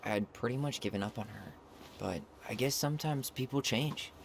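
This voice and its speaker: An actor playing a supportive, earnest young adult brother with an American accent in a naturalistic video game cutscene. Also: male voice, medium pitch, fairly quick, creaky, a little hiss or hum, close to an everyday voice. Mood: reflective, grateful.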